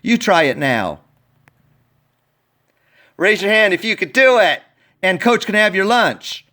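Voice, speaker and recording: A man reading a picture book aloud in an expressive, playful way, talking directly to young listeners. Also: high voice, a little slow. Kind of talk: animated children's storybook read-aloud.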